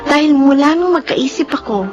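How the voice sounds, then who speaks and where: A woman's voice: sits high, expressive, normal speed, muffled recording, music in the background. A young woman playing a wistful, earnest, overshadowed daughter narrating her story in a radio drama. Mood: wistful, resigned.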